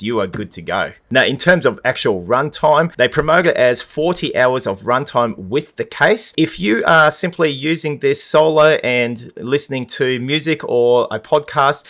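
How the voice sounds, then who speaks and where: A man's voice: medium pitch, a bit bright. A man giving a conversational gadget review, explaining things in an informal, upbeat and colloquial way. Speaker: product reviewer.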